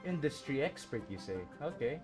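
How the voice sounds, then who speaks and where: A woman streamer gives casual playthrough reactions in a low, expressive voice. She is chatty and tends to echo what she hears. Faint game music sits behind her. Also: normal speed. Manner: chatty, echoes the dialogue. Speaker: gaming streamer.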